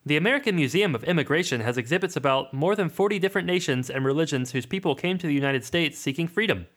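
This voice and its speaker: A man co-hosting a comedy podcast, reading an adventure book aloud in a dry, deadpan way and riffing on it. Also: a little high, quick pace.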